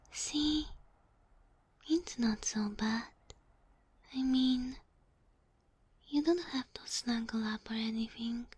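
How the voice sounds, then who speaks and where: A woman voicing a sweet, polite kitsune familiar in an ASMR roleplay, soft and whispery, with formal, bashful phrasing. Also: fairly high pitch, slightly rough, full character voice. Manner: formal, courteous, slightly bashful. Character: sweet, polite kitsune fox-girl familiar, female, ageless.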